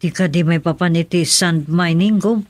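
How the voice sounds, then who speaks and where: A man's voice: fairly high pitch, steady tone, moderate pace, dry recording, natural voice. An actor playing a loyal male subordinate in a radio drama, speaking respectfully to his boss. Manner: respectful, answers with sir.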